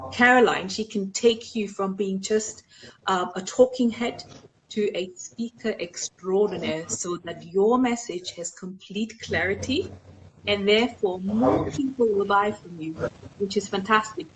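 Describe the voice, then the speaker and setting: A woman's voice: mid-range voice, unhurried, noisy background. A woman with an Austrian accent hosting a live online show, chatting casually with many fillers.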